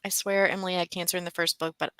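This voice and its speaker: A woman's voice: on the low side, level tone, very fast, a bit bright, clean tone, no echo. A woman co-hosting a book club livestream, chatting casually and reading out viewer comments, thinking aloud with fillers and restarts.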